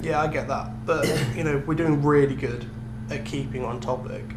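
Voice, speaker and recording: A man's voice: on the high side, steady tone, normal speed. A young British man co-hosting a podcast in a casual, chatty style. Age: young adult. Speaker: podcast co-host. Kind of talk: casual chat. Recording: echoey room with clear hum.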